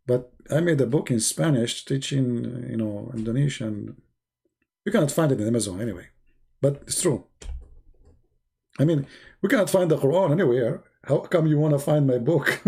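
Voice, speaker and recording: Male live stream host, mellow dark tone, gravelly rasp, sarcastic, repetitive, off-the-cuff. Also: mid-range voice, animated, moderate pace, pauses often. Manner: teasing, sarcastic, repeats phrases. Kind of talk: rambling religious debate live stream chat.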